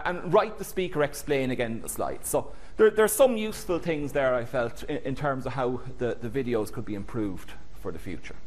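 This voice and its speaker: A middle-aged man with a Cork Irish accent, a lecturer narrating a slide presentation in a hesitant, thinking-aloud way with many restarts. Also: fairly high pitch. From Ireland.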